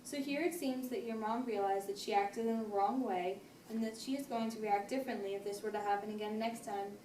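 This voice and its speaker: A woman playing a patient, firm family therapist, speaking in a measured, formal way in an amateur role-play. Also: mid-range voice, fairly even intonation, dark tone, close to an everyday voice. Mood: firm, reasoning. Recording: noisy echoey room, distant mic.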